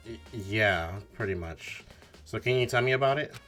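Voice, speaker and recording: A man's voice: fairly low pitch, animated, moderate pace, music in the background, close to an everyday voice. A man voicing an earnest, suspicious young detective, questioning and narrating in an amateur video game dub. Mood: thoughtful. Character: earnest, suspicious teenage boy detective narrating his investigation.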